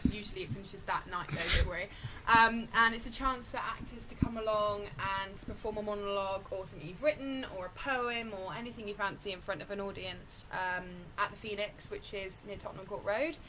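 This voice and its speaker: An actress plugging her monthly event, in a clear, upbeat way. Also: medium pitch, steady tone, brisk, noisy background. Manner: clear, promotional. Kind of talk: casual chat.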